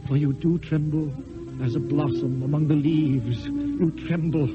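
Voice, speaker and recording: An actor playing a passionate, eloquent poet wooing in secret, in lyrical theatrical declamation with dramatic pauses. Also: male voice, fairly high pitch, steady tone, close to an everyday voice. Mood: ardent, rapturous. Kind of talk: mid-century theatrical classical stage acting. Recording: dull old radio recording with music.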